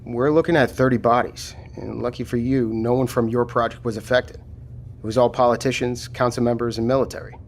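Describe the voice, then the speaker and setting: A man playing a steady, matter-of-fact military lieutenant taking charge after a disaster in an audio drama. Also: medium pitch, brisk, slight background noise, close to an everyday voice. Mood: grave, reassuring.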